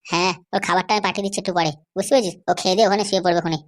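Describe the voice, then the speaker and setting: Woman as a brash, fast-talking cartoon kidnapper. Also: on the low side, fairly even intonation, close to an everyday voice. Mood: impatient. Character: boastful, vengeful male kidnapper in a comedy cartoon. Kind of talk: amateur cartoon comedy dubbing.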